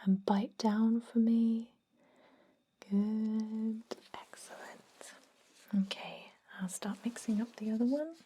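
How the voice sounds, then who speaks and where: A woman playing a calm, attentive vampire dentist in an ASMR roleplay, speaking in a soft breathy whisper with gentle explanations. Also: fairly high pitch, slight background noise. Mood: gentle, reassuring. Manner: slow, gentle, explanatory with pauses. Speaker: ASMR performer.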